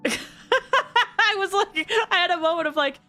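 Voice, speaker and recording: Excitable high-voiced woman streamer, very animated. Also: slow. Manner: excitable, exclamatory, giggly. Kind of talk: live game-stream reactions, casual chat with viewers. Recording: game music behind the voice.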